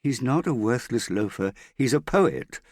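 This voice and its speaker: A man's voice: fairly low pitch, animated, normal speed, a little mellow, no echo, studio quality, voice pushed up. A man voicing an earnest, worried and stubborn young woman in an audiobook, serious and insistent in manner. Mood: irritated. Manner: serious, plain-spoken, insistent. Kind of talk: audiobook character dialogue.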